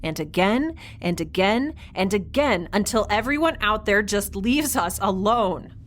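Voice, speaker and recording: Woman as a lively, defiant young spacer, sarcastic. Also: mid-range voice, unhurried, close to an everyday voice. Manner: flippant, casual, expressive. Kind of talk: scripted audio drama acting.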